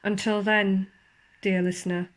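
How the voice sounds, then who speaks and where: A woman narrates a ghost story in a theatrical, suspenseful manner, with deliberate pauses and formal, old-fashioned wording. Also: medium pitch, level tone. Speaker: ghost walk guide and storyteller. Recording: clear background ambience under the voice. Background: spooky horror ambience sounds.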